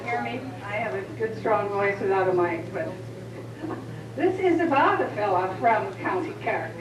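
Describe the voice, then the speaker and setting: A woman's voice: medium pitch, animated, moderate pace. Chatty woman addressing a crowd in a hall. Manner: chatty, with fillers. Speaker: speaker at a family reunion. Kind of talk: casual remarks to a crowd. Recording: echoey hall, mic far off.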